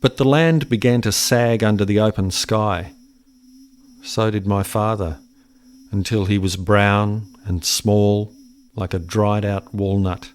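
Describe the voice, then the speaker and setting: A resigned farmer played by a man speaks slowly in a somewhat low voice. His delivery is sombre and plain-spoken, a weary radio-play monologue about hard years on the land. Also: natural voice. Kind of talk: reflective dramatic monologue.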